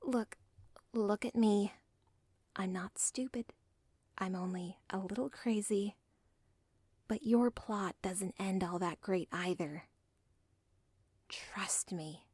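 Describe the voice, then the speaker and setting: A woman's voice: medium pitch, takes it slowly, pauses often, darkish tone, breathy edge, no echo. A woman voicing an unstable, lovestruck young villain's daughter in an ASMR role play, speaking in an intimate, pleading whisper. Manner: intimate, pleading, self-aware.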